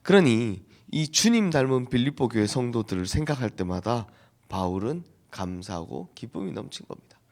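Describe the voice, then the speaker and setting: A hoarse man with a cold preaches at a dawn service, his gravelly voice rising and falling with lively swings of pitch. He asks his listeners a question and then answers it himself. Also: normal speed. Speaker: pastor. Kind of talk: dawn prayer sermon.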